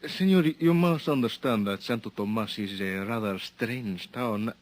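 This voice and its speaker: A man's voice: lowish voice, noisy background, lightly acted. A man playing a suave Latin police lieutenant. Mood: coolly suspicious. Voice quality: formal, measured, silkily menacing. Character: shrewd, polite Mexican police lieutenant, middle-aged man. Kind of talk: 1950s radio drama acting.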